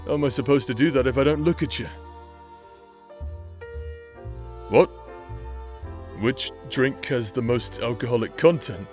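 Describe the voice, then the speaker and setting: A man's voice: medium pitch, moderate pace, darkish tone, close to an everyday voice. A man playing a brash, teasing knight captain, a loyal younger brother, in an anime-style romance audio drama, talking in an informal, playfully sarcastic way. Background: background music.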